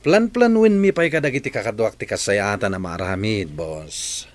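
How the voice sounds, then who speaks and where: A man's voice: on the low side, animated, normal speed, natural voice. Guy doing a yes-man henchman, voice going up and down a lot. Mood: obliging. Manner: obliging to the boss, grumbling alone. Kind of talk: radio play acting.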